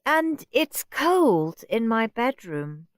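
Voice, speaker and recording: A woman's voice: on the high side, big pitch swings, no room sound, clean studio sound, pitched above the performer's own voice. A woman reading aloud, voicing a bossy, demanding little girl who whines and drags out her complaints. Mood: whiny. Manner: whiny, drawn-out complaining.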